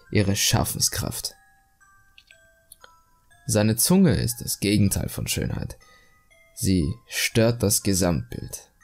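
A man voicing a self-satisfied, calmly cruel murderer obsessed with beauty, in a measured, confiding horror monologue. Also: low voice, vocal fry, heavily acted. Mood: smug, calm menace. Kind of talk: German creepypasta horror monologue, dramatic reading.

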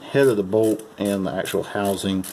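Low-voiced man giving hands-on instructions. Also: fairly even intonation, moderate pace, a little mellow, slight background noise, poor audio. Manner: casual, folksy instruction, calling parts "her". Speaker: amateur mechanic giving a repair demonstration. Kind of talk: unscripted how-to narration while working. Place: at a car's open engine bay.

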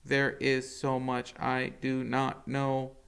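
A man doing a gentle, measured robot mother. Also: medium pitch, creaky, clean tone, voice pushed up. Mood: earnest. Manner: slow, even, carefully spoken.

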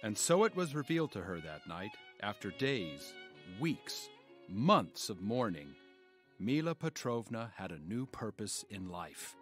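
An actor playing a village doctor's assistant who narrates a tale in an audio drama. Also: male voice, on the low side, very animated, measured pace, faint music behind. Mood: solemn storytelling.

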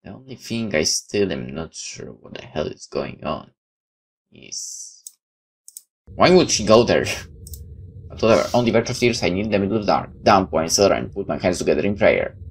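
A woman's voice: rumbling low, lively intonation, fast talker, few pauses. A woman gamer reading game text aloud and adding casual, incredulous commentary. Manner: incredulous asides. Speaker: gamer doing a playthrough. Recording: faint background noise.

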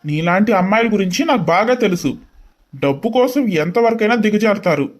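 A woman plays an angry young husband in a dramatized story, pitched well below the storyteller. He is cold and accusing, and speaks with open contempt. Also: expressive, fairly quick, close to an everyday voice.

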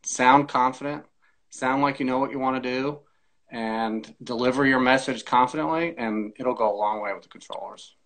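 A man in his forties speaking as an interview guest in a measured, explanatory way, giving practical advice on a video call. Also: medium pitch, fairly bright tone, low-quality recording. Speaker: air traffic controller, podcast guest. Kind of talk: conversational interview, explaining tips. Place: small indoor room, video call.

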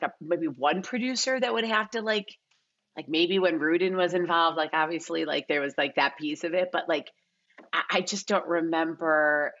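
A woman's voice: lowish voice, a bit bright, muffled recording. A middle-aged woman answering casually as an interview guest, emphatic and repeating words for emphasis, on a video call from home. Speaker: guest, casting director.

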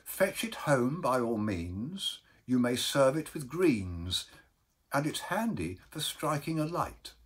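A man doing a solemn, slow-spoken storybook uncle. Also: medium pitch, very animated, slightly rough, pitched above the performer's own voice, full character voice. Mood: advising. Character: kindly, solemn uncle warning his beloved nephew.

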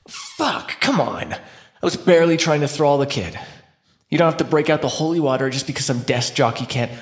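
In a roomy, echoing space, a man plays a captive male vampire in an ASMR roleplay. He is flippant and taunting, with plenty of gravelly fry in his voice. His pitch moves around freely as he needles his captors with defiant sarcasm. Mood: irritated, defiant. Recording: roomy echo.

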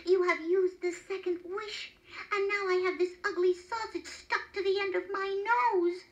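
A woman voices a woodcutter husband in a children's tale. He is plain-spoken and quick to grumble, leaning hard on his words. The delivery is slow, in a very high register. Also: very animated, dull audio. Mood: angry. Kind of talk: children's storybook character reading.

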